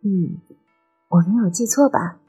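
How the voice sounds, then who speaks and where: A woman playing a lively, playful and chatty nineteen-year-old girl in an audio drama. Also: mid-range voice, a little slow, soft background music, natural voice. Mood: explaining, thoughtful. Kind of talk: Chinese audio drama acting.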